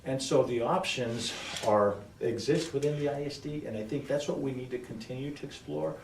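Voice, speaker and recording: A man applying for a school board seat answers at a public forum, pausing and repeating phrases for emphasis. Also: medium pitch, gravelly, darkish tone. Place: indoor public forum room. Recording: roomy echo, distant mic, faint noise.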